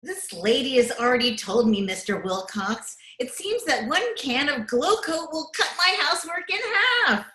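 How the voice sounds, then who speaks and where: Casting: female actor as effusive busy housewife for radio comedy, bright swooping voice, echoey room. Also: high voice, animated, moderate pace, few pauses. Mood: eager, effusive. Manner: effusive, eager. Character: busy, eager, gushing suburban housewife. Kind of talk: old-time radio comedy acting. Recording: roomy, echoing remote recording.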